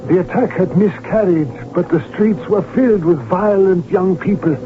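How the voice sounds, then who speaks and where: A man's voice: high-pitched, normal speed, noisy background, lightly acted. An actor playing an outspoken, agitated royal physician who tells his story with urgency and emphasis in a 1970s radio drama. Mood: grave recounting. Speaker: doctor character who also narrates. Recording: tinny narrowband old radio recording, music behind.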